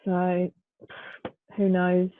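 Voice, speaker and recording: A woman's voice: fairly low pitch, monotone, very slow, slight background noise. A woman with a Northern English accent co-hosting a casual chat over a video call, weary and congested, giving short replies. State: tired, congested. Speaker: co-host. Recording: dry tinny phone-like line.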